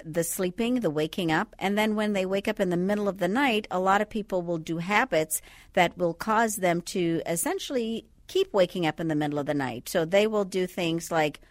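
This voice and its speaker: A woman from the Bahamas, a sleep physician, calmly explaining things step by step as a guest in a radio studio. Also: on the low side, normal speed, no room sound. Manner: calm, patient, explains step by step. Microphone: studio microphone.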